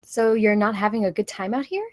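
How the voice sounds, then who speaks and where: A woman's voice: medium pitch, a little fast, no echo, close to an everyday voice. A woman playing a hurt, confrontational young woman in a drama table read. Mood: accusing.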